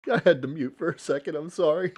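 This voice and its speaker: A man's voice: on the high side, fast, darkish tone, a little hiss or hum. A man hosting a livestream, reacting to a clip with profane jokes and interruptions. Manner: profane, joking, cutting in over the clip. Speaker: livestream host. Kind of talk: casual reaction commentary.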